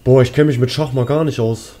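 A man's voice: mid-range voice, steady tone, brisk, dark tone. A man giving casual gameplay commentary in speech, musing aloud as he works through puzzles. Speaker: let's player. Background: game music.

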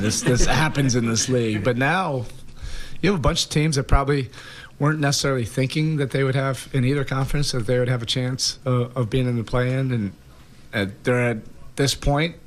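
A man's voice: mid-range voice, a little fast. A man in his forties, a head coach answering questions at a press conference, thoughtful, with many fillers and repeated words. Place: press room in front of sponsor backdrop. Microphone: table mic.

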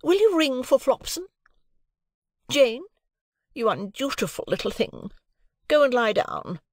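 A woman's voice: sits high, sing-song, a little slow, dry recording, clean studio sound, voice pushed up, exaggerated voice. A woman voicing a haughty, easily affronted married lady in an audiobook, imperious and indignant. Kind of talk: audiobook character acting.

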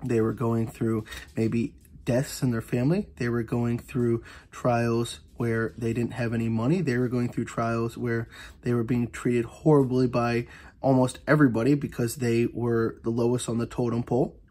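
A man's voice: medium pitch, little pitch movement, moderate pace, mellow. Earnest man teaching a Bible study. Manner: earnest, repeats phrases and restarts with fillers. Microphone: voice-over on an overhead camera view of an annotated Bible.

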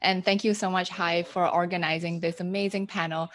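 Malaysian founder, lowish voice, polished. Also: female voice, steady tone, brisk. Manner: friendly, polished. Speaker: startup founder and CEO. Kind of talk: panel self-introduction.

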